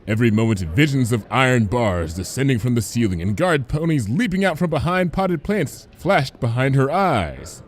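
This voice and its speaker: Animated man narrating a comic story. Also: medium pitch, normal speed, hardly any pauses. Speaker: fan fiction reader, narrator.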